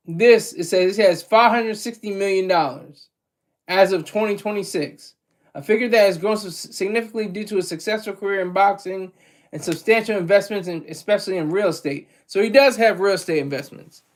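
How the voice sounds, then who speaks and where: A man's voice: high-pitched, normal speed. A man hosting a casual livestream, chatting to his audience in a loose, rambling way with frequent restarts and chuckles. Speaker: livestream host reading chat.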